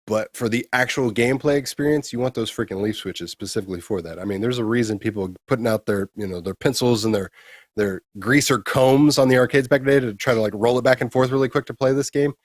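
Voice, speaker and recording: A man's voice: mid-range voice, quick pace, no room sound, studio quality. A man co-hosting a retro gaming show, giving blunt, emphatic opinions in casual talk with some crude slang.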